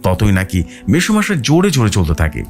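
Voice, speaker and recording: A man's voice: lowish voice, huge pitch swings, fairly quick, faint music behind. A man narrating a scripted horror story in a dramatic way. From West Bengal, India.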